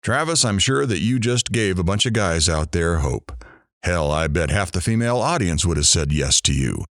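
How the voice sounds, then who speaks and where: A man's voice: low-pitched, lively intonation, moderate pace, fairly bright tone, no echo, studio quality. A man playing an irreverent radio talk show host in an audio drama, blunt, teasing and informal. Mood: engrossed, amused.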